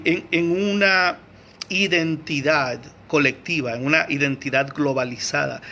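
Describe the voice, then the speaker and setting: Man teacher, bright voice, hesitant unscripted lecture, faint room noise. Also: fairly high pitch. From Venezuela. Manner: hesitant, many fillers and restarts, searches for words; clears his throat often.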